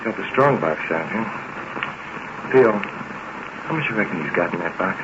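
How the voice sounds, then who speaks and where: An actor playing a callous, greedy farmer's son with a rural American accent, gruff and sneering, in 1940s radio drama style. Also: male voice, sits low, expressive, a little slow. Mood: scheming, greedy. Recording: muffled, hissy 1940s radio recording.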